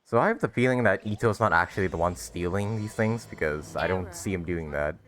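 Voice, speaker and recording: A woman's voice: rumbling low, brisk. A woman doing casual, chatty let's play commentary, reacting with amusement to a game. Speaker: gaming streamer. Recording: game music behind.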